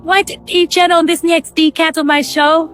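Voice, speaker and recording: A distraught cartoon girl, played by a male storyteller, pitched extremely high. Faint music plays behind the upset voice. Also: little pitch movement, fairly quick, a bit bright.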